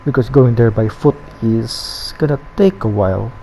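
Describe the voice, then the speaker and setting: A game streamer with a Filipino accent giving casual, halting gameplay commentary. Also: mid-range voice, dark tone, slight background noise. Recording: dull lo-fi mic over game music.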